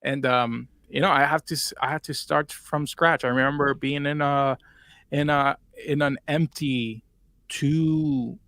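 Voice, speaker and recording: A man's voice: a little high, steady tone, measured pace, a bit bright, dry recording. A man in his twenties from Puerto Rico, with a Spanish accent, telling his story as an interview guest in a rambling way with many fillers. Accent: Puerto Rican Spanish. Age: 20s. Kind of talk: casual interview chat.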